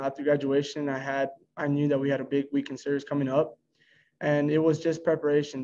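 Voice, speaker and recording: An American man in his early twenties, a college pitcher answering reporters after a game on a video call. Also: a little mellow. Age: early 20s. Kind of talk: post-game press conference answers. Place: indoor press room before a backdrop. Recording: dull, lo-fi video call audio.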